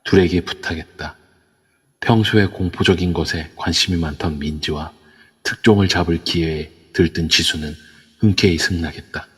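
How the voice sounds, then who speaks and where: A man narrating an amateur audio drama in steady storytelling form. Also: low-pitched, moderate pace, slightly rough. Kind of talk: scripted narration. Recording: reverb-heavy online audio.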